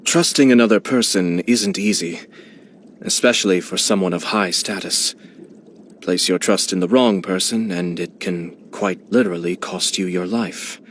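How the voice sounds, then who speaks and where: An actor voicing a genteel, scheming young male clan head in a video game, speaking in a smooth, measured and courteous way with quiet amusement. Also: sits low, a little hiss or hum, lightly acted. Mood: composed. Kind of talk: video game character voice lines.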